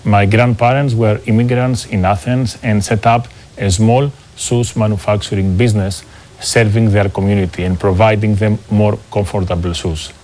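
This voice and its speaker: A middle-aged man with a Greek accent gives measured, formal answers as a business executive being interviewed. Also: fairly low pitch, steady tone, a little mellow. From Greece. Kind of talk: prepared corporate interview answers.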